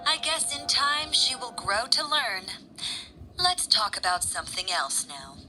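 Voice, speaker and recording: A woman voicing a worried, sensible mother in a children's fairy tale, expressive and a little exasperated. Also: a little high, unhurried, faint music behind, full character voice, poor audio. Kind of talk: children's animated storybook acting.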